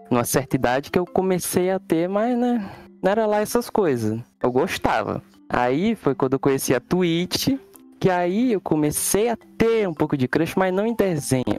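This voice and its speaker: A man's voice: a little high, a little mellow. A man with a Brazilian accent chatting casually and colloquially. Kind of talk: casual chat.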